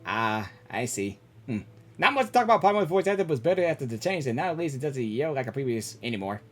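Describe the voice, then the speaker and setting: A woman gaming streamer giving casual live reactions in an informal, halting, exclamatory way. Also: extremely low pitch, sing-song.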